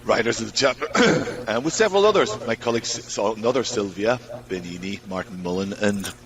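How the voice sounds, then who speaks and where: Brief: man author, lowish pitch, rapid, distant video call, faint hiss. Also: expressive, fairly bright tone. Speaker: chapter author. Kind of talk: casual self-introduction.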